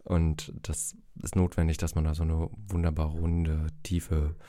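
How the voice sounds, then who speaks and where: Deep-voiced man in his forties with a cold. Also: monotone, measured pace, warm tone, no echo. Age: 40s. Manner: rambling, self-mocking, laughs often. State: has a cold, coughing. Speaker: podcast host. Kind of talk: casual chat.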